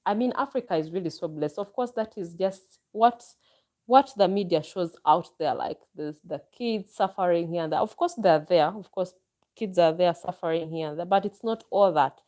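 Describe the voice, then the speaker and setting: Warm, low-voiced Ugandan woman chatting. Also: moderate pace. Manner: enthusiastic, chatty, with frequent exclamations. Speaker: vlogger answering viewer comments. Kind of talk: casual live chat.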